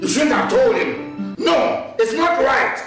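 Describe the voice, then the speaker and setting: A man's voice: very high-pitched, lively intonation, slow pace, gravelly, fairly bright tone, faint music behind, heavily acted. An actor with a Nigerian accent playing an imperious, agitated older chief scolding his maid in a film drama. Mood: agitated reproach. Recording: very echoey, distant, faint noise.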